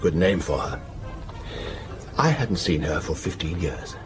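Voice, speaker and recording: An actor playing an anxious, asthmatic businessman in his fifties in a radio drama, halting, earnest and short of breath. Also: low-pitched, sing-song. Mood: nervous, polite. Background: music.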